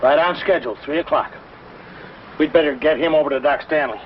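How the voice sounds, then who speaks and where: High-voiced, urgent small-town man, acted. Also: a little fast, slight background noise, lightly acted. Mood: concerned, brisk. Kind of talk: 1950s TV drama acting. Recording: dull vintage TV soundtrack with music.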